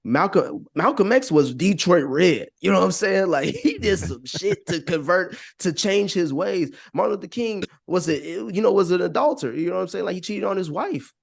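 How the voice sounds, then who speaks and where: A man's voice: high-pitched, lively intonation, normal speed, creaky, few pauses, husky. Rambling American man in casual podcast talk. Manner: rambling, repeats phrases, frequent "you know what I'm saying", profane. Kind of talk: casual conversational podcast opinion.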